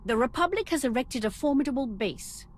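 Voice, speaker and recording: An imperious empress, haughty and confident, with pitch that swoops in a lively way. She schemes theatrically in game dialogue, with faint noise underneath. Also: brisk. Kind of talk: video game cutscene acting.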